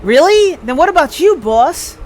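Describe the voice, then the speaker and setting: A game streamer voicing an excitable, eager junior police sidekick with an urgent, exclaiming manner. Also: high-pitched, measured pace, soft background music, pitched above the performer's own voice. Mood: chirpy.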